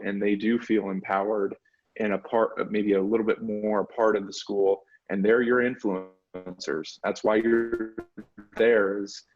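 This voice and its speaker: A man giving conversational, explanatory answers as an interviewee, pitching an education service and repeating words as he phrases things. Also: husky, lo-fi sound. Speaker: interviewee, education software representative.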